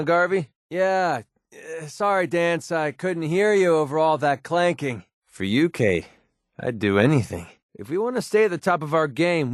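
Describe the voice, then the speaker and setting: A man's voice: mid-range voice, no room sound, clean studio sound, lightly acted. A man playing a cynical, wisecracking young mercenary sharpshooter in a video game, with a sardonic, casual, slangy delivery. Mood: wry, casual, then guarded, businesslike. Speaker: video game companion voice actor. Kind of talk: game character dialogue, naturalistic acting.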